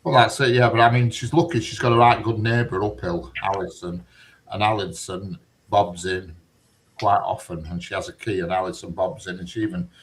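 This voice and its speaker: Deep-voiced chatty man. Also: level tone, normal speed, poor audio. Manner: rambling, chatty, dialect-heavy, cheeky. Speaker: conversation partner. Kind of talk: casual chat.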